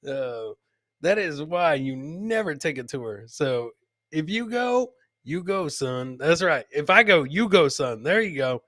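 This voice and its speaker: Bright-voiced man riffing on funny clips. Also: fairly high pitch, big pitch swings, measured pace, no echo, clean studio sound. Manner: repeats catchphrases, chuckles at own jokes. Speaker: podcast host. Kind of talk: casual comedic commentary on funny video clips.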